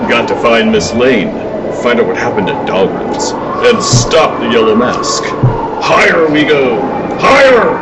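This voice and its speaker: A man playing an earnest reporter with a secret heroic side, speaking with deliberate urgency in old-time radio serial style. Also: medium pitch, rough recording. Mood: heroic, urgent.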